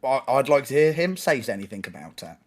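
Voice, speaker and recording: A young man, joking and rambling fast in a casual gaming stream chat. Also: mid-range voice, very animated.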